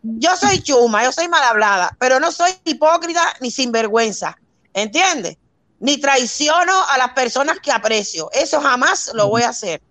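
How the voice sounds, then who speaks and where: Cuban woman, high voice, dry close sound; lively retelling of a quarrel, Cuban accent. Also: normal speed, dry recording. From Cuba. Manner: animated storytelling, quoting her own arguments. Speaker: guest on a live talk show. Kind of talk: casual heated chat.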